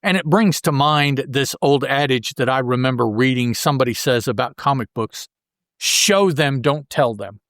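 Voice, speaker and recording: Halting man in casual discussion, dry sound. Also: medium pitch, fairly bright tone, dry recording. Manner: halting, self-correcting, digressive. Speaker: podcast host. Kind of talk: casual comic-book discussion.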